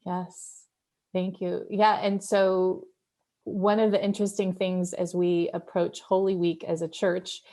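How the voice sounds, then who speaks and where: A woman's voice: on the low side, little pitch movement, a little slow, dark tone. A woman leading a church Bible study in a casual, reflective discussion style, often checking in with listeners as she explains. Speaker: group leader and pastor of a church Bible study.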